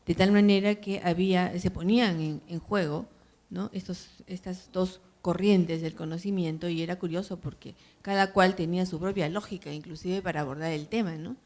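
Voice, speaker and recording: A woman's voice: fairly low pitch, steady tone, moderate pace, a little mellow. A woman answering questions on a panel, hesitant, with many fillers and tag questions. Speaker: panelist, university program organizer.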